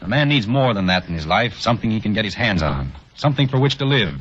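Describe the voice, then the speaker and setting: A man's voice: fairly low pitch, lively intonation, quick pace, fairly bright tone, slightly rough. An actor playing a brooding, bitter male doctor in a 1940s radio drama, speaking in a somber, earnest way. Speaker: surgeon. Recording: dull lo-fi 1940s radio recording.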